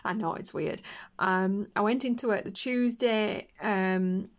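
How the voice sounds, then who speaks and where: A woman chatting casually on her colouring channel, her voice hoarse and croaky after a chest infection, rambling with fillers and coughs. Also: mid-range voice, a little slow. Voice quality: husky. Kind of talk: casual chat while colouring.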